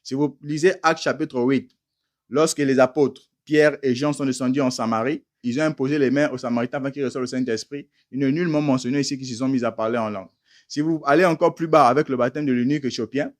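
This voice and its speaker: A man's voice: medium pitch, fairly even intonation, fast talker. A man preaching a teaching sermon, emphatic and firing rhetorical questions at listeners he calls beloved brothers.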